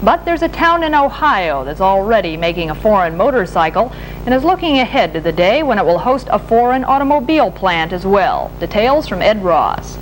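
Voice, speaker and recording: An American woman reading scripted television news as an anchor in a formal, measured delivery. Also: mid-range voice, big pitch swings. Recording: noisy archival 1970s broadcast tape, humming.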